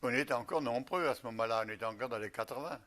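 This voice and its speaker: An older man from Alsace, a retired missionary bishop, recalling his life slowly with many pauses. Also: medium pitch, fairly bright tone. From Alsace, France. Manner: slow, halting recollection with many pauses. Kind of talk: reminiscing interview.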